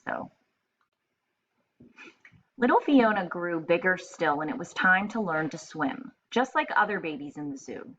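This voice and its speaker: A woman reading aloud to children as a teacher, expressive and explanatory, in a slightly muffled recording with faint noise. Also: fairly low pitch, unhurried, long pauses.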